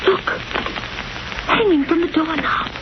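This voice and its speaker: A woman's voice: extremely high pitch, slow. An actress playing an anxious, devoted young woman in a 1930s radio drama, earnest and fretful. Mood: frightened. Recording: muffled, hissy 1930s radio recording.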